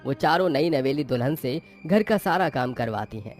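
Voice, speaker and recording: A man's voice: mid-range voice, lively intonation, normal speed, soft background music. A man giving scripted narration of a story as its narrator.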